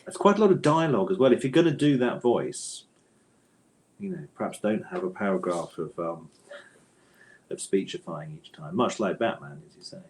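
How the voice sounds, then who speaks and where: A man's voice: sits low, lively intonation, moderate pace, darkish tone, slight background noise. A man answering interview questions conversationally as a guest author, with a thoughtful, hesitant manner and bookish phrasing.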